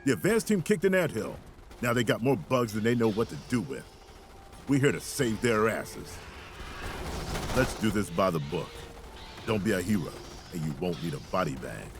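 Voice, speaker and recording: An actor playing a gruff, sardonic infantry commander in a video game, giving orders in clipped, wry military phrasing. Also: male voice, on the low side, big pitch swings, normal speed, background noise. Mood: stern briefing. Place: studio voice recording, music behind.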